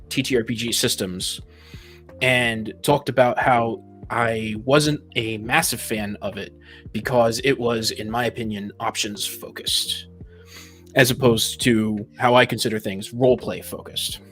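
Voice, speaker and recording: A man's voice: mid-range voice, a bit bright. A man speaking as a podcast guest, explaining his opinions in a casual panel discussion.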